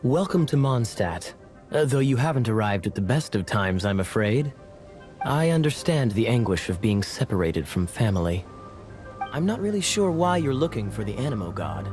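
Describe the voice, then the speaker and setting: A man playing a suave, sly cavalry captain in a video game, composed and teasing, with a smooth-talking, insinuating drawl. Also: mid-range voice, very animated, moderate pace, a little mellow, soft background music, close to an everyday voice. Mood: composed, courteous.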